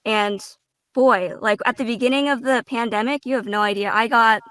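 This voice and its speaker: A woman in a casual roundtable talk as a voice actor, phrasing her thoughts deliberately and a little haltingly. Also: fairly high pitch, fairly bright tone, no room sound, clean studio sound. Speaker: voice actor, panel guest.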